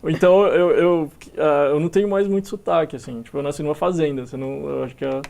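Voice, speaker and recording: A young man from Minas Gerais, a musician being interviewed, talking in a relaxed way with many fillers and restarts. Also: fairly high pitch, fast, gravelly. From Minas Gerais, Brazil. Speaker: musician guest. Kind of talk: casual interview talk.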